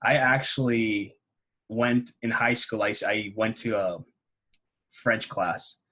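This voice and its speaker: A man's voice: lowish voice, steady tone, slow pace, pushed and bright. A man hosting a casual podcast interview, talking with enthusiasm and giving advice. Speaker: podcast host.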